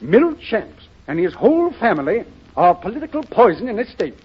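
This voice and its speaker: A man's voice: high voice, sing-song, moderate pace, a little hiss or hum. An actor playing a blustery, fatherly older small-town colonel with an American accent in a 1930s radio drama. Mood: admonishing. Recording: dull, muffled 1930s radio recording.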